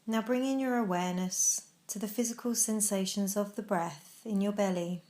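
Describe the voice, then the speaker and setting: Woman doing a guided meditation, mid pitched voice, gentle measured instructions w pauses. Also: fairly even intonation. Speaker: mindfulness practice guide.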